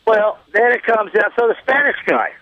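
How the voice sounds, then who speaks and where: A man telling a rambling casual anecdote on a radio talk show, halting and repeating himself, with plain folksy grammar. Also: high-pitched, expressive, dull audio, slight background noise.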